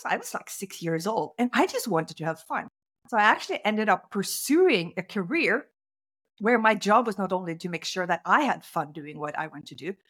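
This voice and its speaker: A middle-aged woman from Norway, a guest in an interview, telling her story in a conversational way and lifting her pitch sharply on key words. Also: fairly bright tone. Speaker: guest speaker.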